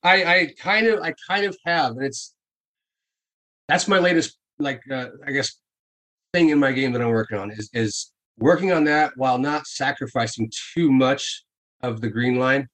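A man's voice: medium pitch, normal speed, fairly bright tone, rough recording. A man chatting casually on a podcast, telling stories with frequent laughs and swearing. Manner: storytelling, swears freely, laughs often.

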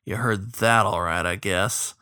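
A man voicing a gruff, fatherly riverboat captain with a folksy growl. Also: mid-range voice, normal speed, pushed and bright, dry recording, clean studio sound, lightly acted.

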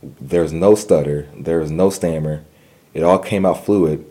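A man's voice: extremely low pitch, unhurried, dark tone. A young man telling his personal story in an interview, earnest, with a stutter and repeated words. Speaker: guest.

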